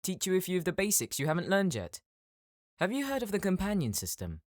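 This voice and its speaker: A narrator voicing an excitable, nervous elf healer in a fantasy audiobook, given to exclaiming and awkward stammering. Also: mid-range voice, a little fast, dry recording, studio quality, voice pushed up. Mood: helpful, explaining. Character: excitable, nervous elf healer man, friendly game guide. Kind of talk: audiobook character acting in a fantasy story.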